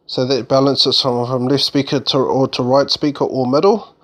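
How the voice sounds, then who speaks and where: A man doing an informal, unscripted product demonstration as a reviewer, explaining things in a halting, loosely worded way. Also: mid-range voice, flat delivery, fairly bright tone. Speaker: gear reviewer demonstrating a mixer amp.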